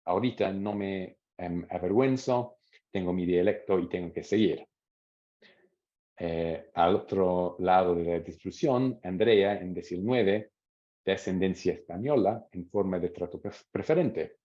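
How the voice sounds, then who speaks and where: A man with a deep, dark-toned voice presents research at a seminar. He speaks in a measured way and reads out interview quotes. The audio is dull and lo-fi. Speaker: academic presenter.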